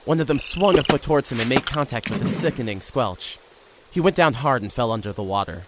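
A man's voice: mid-range voice, lively intonation, fairly quick, background noise, lightly acted. A man playing a young reporter who narrates an audio drama in a wry, conversational way.

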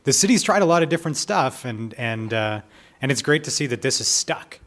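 A man's voice: mid-range voice, lively intonation, a little fast, a bit bright. A man with a Canadian accent hosting a casual, engaged, conversational interview. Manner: informal, engaged. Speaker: interview host.

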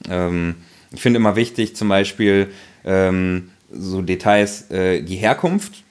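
Lowish guy cohosting a podcast, rambly, lots of ums. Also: takes it slowly. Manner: rambling, many fillers and restarts. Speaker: podcast co-host. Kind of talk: casual chat.

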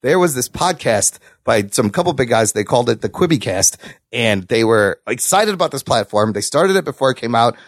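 A man hosting a podcast, chatting casually about news with co-hosts in an excitable, sweary way. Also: medium pitch, fairly quick, no room sound, studio quality. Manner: excitable, profane. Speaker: podcast host.

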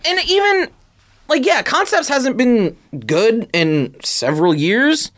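A woman's voice: a little high, expressive, slow. A woman co-hosting a podcast in casual chat, talking in an informal, slangy way. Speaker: podcast co-host.

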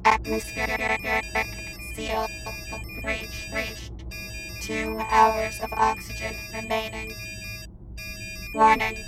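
A woman's voice: a little high, very slow, long pauses, faint music behind. A woman voicing an impassive spacesuit computer in an audio drama, giving automated warnings with a processed sound. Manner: flat, clipped, automated announcing.

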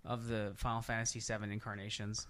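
A man's voice: lowish voice, completely flat delivery, fast, fairly bright tone. A man hosting a podcast, chatting casually in a rambling, enthusiastic, geeky explaining way. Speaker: podcast host. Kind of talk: casual chat about video game movies.

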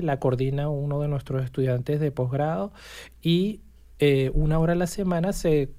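Mellow-voiced professor explaining things calmly. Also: mid-range voice. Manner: measured, explanatory, frequent restarts. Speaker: university language professor, guest. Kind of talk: casual interview chat.

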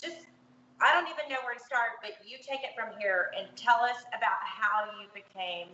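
A woman's voice: a little high, moderate pace, sharp tone. A woman hosting a chatty interview show at home, speaking in an effusive, enthusiastic way. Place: home, indoors with windows open. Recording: echoey room, muffled, faint background noise.

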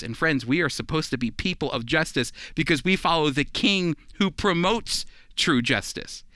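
A man's voice: mid-range voice, pushed and bright, clear, resonant tone, dry recording, clean studio sound. A man teaching from scripture in an emphatic sermon style, addressing his listeners directly. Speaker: Bible teacher, radio show host.

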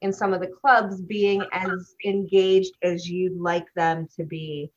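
Podcast host lady, upbeat and excited, kinda dull lo-fi sound. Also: on the low side, slow. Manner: warm enthusiastic interviewer. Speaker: podcast host, business consultant. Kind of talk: podcast interview.

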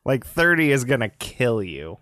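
A man's voice: mid-range voice, big pitch swings, moderate pace, fairly bright tone, no echo, clean studio sound. A man in his forties chatting casually as a podcast co-host, in a wry, teasing way. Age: 40s. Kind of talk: casual chat.

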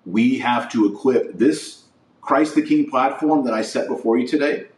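A man delivering a political and religious monologue as a podcast host, emphatic and deliberate, repeating phrases for weight. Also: mid-range voice, a little mellow. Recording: roomy echo, distant microphone.

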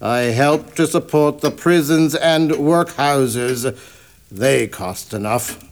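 A man's voice: medium pitch, slow pace. An actor playing a bitter, miserly old businessman in a radio drama, scornful and curt. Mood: scornful, grudging. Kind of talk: family radio drama acting.

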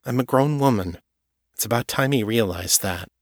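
A man's voice: mid-range voice, normal speed, no room sound, clean studio sound, lightly acted. A man voicing a blunt, frustrated young woman police detective who speaks glumly. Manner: blunt and glum.

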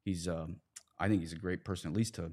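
A man talking through his points in a casual podcast discussion, often halting and correcting himself as he explains. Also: low voice, steady tone, darkish tone. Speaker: priest and podcast guest.

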